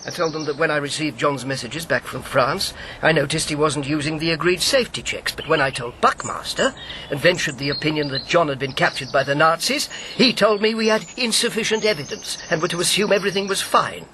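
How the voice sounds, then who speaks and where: A blunt older man who once served as a wartime officer. His voice is sharp and a little high, with dry, sardonic, articulate phrasing. Faint birdsong sits behind this radio drama performance. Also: animated, fairly quick, a little hiss or hum. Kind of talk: naturalistic radio drama acting.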